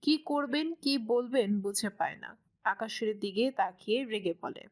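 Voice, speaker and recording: Casting: female narrator for scripted fiction, slightly high register, storytelling delivery. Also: measured pace.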